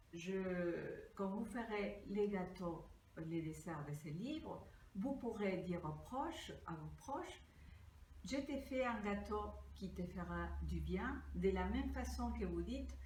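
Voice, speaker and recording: Slow, earnest older woman from Peru. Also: medium pitch, darkish tone, a little hiss or hum. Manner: slow, deliberate, earnest, reading from notes. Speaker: pastry cookbook author. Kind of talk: crowdfunding pitch. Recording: echoey room, mic at a distance.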